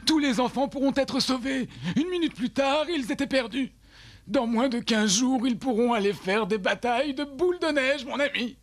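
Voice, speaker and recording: A man voicing a gloomy but caring village doctor in a dubbed animated film, grave and emphatic. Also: extremely high pitch, normal speed. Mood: relieved. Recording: music behind the voice.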